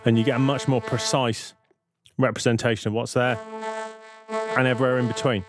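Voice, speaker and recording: A man narrating a software tutorial in an informal, explanatory way, thinking aloud as he goes. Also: mid-range voice, flat delivery, brisk, over loud music. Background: synth and vocoder demo sounds.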